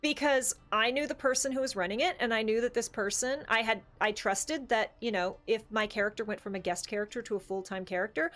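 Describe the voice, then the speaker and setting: A woman's voice: a little high, fairly quick, hardly any pauses, a bit bright. A woman in a casual, opinionated discussion as a co-host, speaking emphatically and repeating phrases to drive points home. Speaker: podcast co-host.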